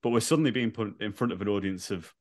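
A man's voice: lowish voice, quick pace. A man co-hosting a podcast, speaking candidly and reassuringly to viewers, admitting he is a bit nervous. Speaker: podcast co-host. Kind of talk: earnest address to viewers.

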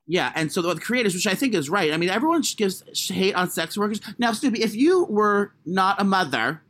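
A man with a high voice chatting as a podcast co-host, crude, rambling and self-mocking. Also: lively intonation, fairly quick, a bit bright. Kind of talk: casual chat.

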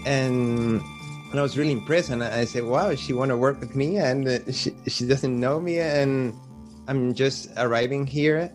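A man's voice: mid-range voice, moderate pace, faint music behind. A Venezuelan man whose first language is Spanish, speaking English with a Spanish accent as a film composer in a podcast interview, hesitant and searching for words.

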